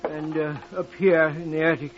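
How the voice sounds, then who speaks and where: An actor playing a plain-spoken, laconic janitor in a 1950s radio drama, with a terse, deadpan, folksy manner. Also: male voice, a little high, normal speed, music in the background, natural voice. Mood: matter-of-fact. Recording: dull, noisy vintage radio recording with clicks.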